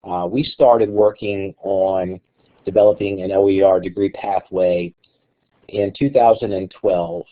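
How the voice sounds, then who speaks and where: A man presenting as a guest speaker in an online meeting, a little raspy from a cold, talking haltingly. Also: low voice, fairly even intonation. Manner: halting, with fillers. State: has a cold, slightly raspy. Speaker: guest presenter, college director. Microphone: web conference audio. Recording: tinny phone-like line.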